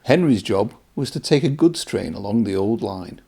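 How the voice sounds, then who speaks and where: A man narrates a children's storybook in a mellow voice with a touch of gravel. His pitch moves up and down in an animated way, shaped for young listeners. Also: moderate pace. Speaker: children's read-aloud presenter and narrator.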